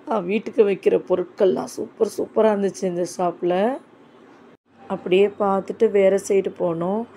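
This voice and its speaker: A woman's voice: medium pitch, mellow, a little hiss or hum. A woman casually narrating her shopping trip in a chatty, enthusiastic way. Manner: chatty, enthusiastic, repeats "super super". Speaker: vlogger.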